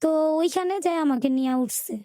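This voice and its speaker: A woman with a Bangladeshi Bengali accent telling her life story in an interview, in a colloquial, halting way with repeated filler words. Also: high-pitched, warm tone, dry recording, studio quality. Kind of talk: personal storytelling in a radio interview.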